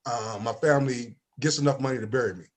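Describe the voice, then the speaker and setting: A man's voice: mid-range voice, quick pace. A man in his forties from East Saint Louis, a life insurance agent and talk-show guest, telling stories with acted-out dialogue. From East Saint Louis, Illinois, USA. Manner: animated storyteller, acts out quoted dialogue, often adds "you know what I mean". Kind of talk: casual talk-show conversation.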